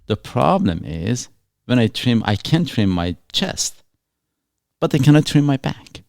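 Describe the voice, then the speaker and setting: A man's voice: medium pitch, sing-song, unhurried, creaky, a little mellow, dry recording, clean studio sound. A man from Iran with a Persian accent, hosting a casual chat and asking playful, probing questions. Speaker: host. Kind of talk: casual conversation.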